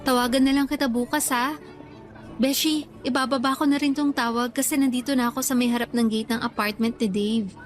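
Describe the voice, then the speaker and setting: A woman's voice: a little high, steady tone, brisk, soft background music, lightly acted. An actress playing a troubled young working girlfriend who confides in her friend, in earnest conversational Filipino radio drama style with a Filipino accent. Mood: casual, resigned.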